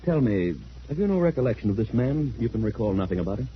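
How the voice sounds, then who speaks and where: An actor playing a stern, brooding older father in an old radio drama, speaking in a measured, formal way. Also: medium pitch, sing-song, natural voice. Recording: dull, noisy vintage radio recording.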